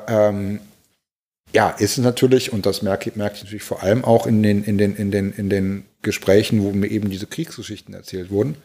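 A man in his forties from Germany, a pastor, thoughtfully telling personal stories, halting with many restarts and repeated words. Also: medium pitch, a little mellow. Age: 40s. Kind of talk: reflective personal storytelling.

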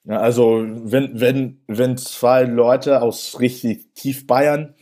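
A man's voice: medium pitch, level tone, slow, warm tone. A man in his twenties from New York with an American accent, speaking German as a second language in a casual interview, hesitant with many restarts and fillers. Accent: American English. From New York, USA. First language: English. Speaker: interview guest.

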